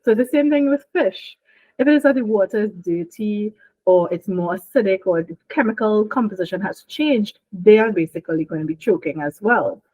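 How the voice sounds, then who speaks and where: A woman's voice: mid-range voice, lively intonation, moderate pace, dark tone, dry recording. A young woman from Trinidad and Tobago, a marine biologist talking casually and laughing often as she explains things in an interview. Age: young adult. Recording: dry, phone-like line.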